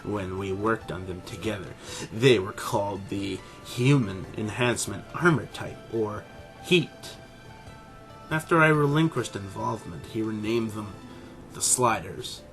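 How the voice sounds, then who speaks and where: A pompous, middle-aged scientist, acted by a man for an audio drama, holds forth in a lowish voice. He is condescending and deliberate, an arrogant animal character, with light music playing behind him. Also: a bit bright. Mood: detached, proud. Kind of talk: scripted fan audio drama.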